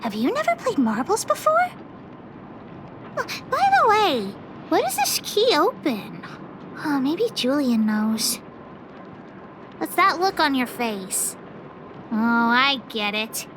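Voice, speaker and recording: A woman voicing a bossy, excitable little girl who leads a gang of kids, self-important and cheeky, in a video game. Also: high voice, slow, long pauses, noisy background, exaggerated voice. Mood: delighted, curious, then indignant, proud. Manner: self-important, cheeky, refers to herself by name. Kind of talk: video game character voice acting. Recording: music under the voice. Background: background music.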